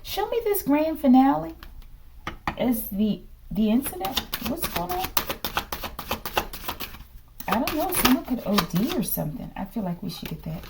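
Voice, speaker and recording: A woman giving an improvised, informal tarot reading to an online audience, asking rhetorical questions, tagging her phrases with "okay" and dropping into hushed asides. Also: sits high, sing-song, normal speed, creaky, pauses often, background noise. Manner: repeats rhetorical questions and verbal tags like "okay". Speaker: tarot reader, host of the reading.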